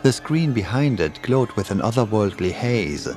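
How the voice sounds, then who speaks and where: A man with a fairly low voice narrates a horror tale over loud, creepy music and sound effects. His delivery is ominous and dramatic, with lively swings in pitch. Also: moderate pace.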